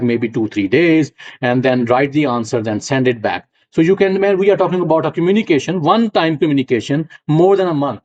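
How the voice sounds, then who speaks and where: A middle-aged male lecturer from Punjab speaks with a Punjabi accent and a fairly high voice, walking students through concepts step by step. He often pauses to check that they follow. The recording is dull and lo-fi. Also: a little mellow. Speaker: university lecturer. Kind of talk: classroom lecture.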